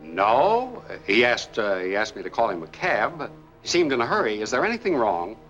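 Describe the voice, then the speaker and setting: A man's voice: medium pitch, sing-song, moderate pace, fairly bright tone. A man playing a helpful, hesitant minor character in a 1940s mystery film. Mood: helpful, concerned. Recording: dull 1940s film soundtrack with music.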